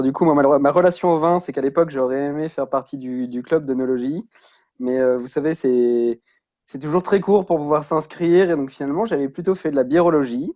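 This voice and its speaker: Guy in his 30s, soft dark tone, muffled lo-fi call, chatting casually, stumbles over repeats. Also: medium pitch. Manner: hesitant, repeats words. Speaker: alumni participant. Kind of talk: casual self-introduction on a video call. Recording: dull lo-fi video-call connection.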